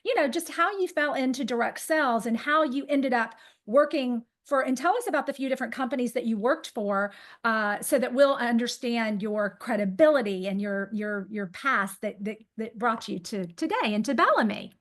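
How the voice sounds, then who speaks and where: An energetic woman host with a fairly high voice speaks effusively in a casual live chat. She introduces and questions her guest in an informal, enthusiastic way. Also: moderate pace.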